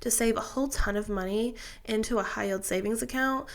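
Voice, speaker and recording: A woman's voice: a little high, a little fast. Congested young woman explaining finances. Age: 20s. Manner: chatty, methodical, with fillers and restarts. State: congested from a sinus infection. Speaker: personal finance vlogger. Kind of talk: casual explanatory talk to camera.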